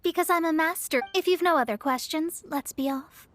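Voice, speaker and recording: A woman's voice: extremely high pitch, moderate pace, fairly bright tone, no room sound. A woman playing a proud young astrologist in a video game, speaking in formal, pompous, carefully articulated sentences. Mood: self-assured, lofty. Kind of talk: scripted game cutscene dialogue.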